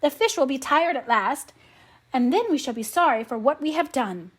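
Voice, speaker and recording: Woman reading as a doleful henpecked fisherman, high-pitched. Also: animated, moderate pace. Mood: doleful, worried. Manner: mournful, pleading.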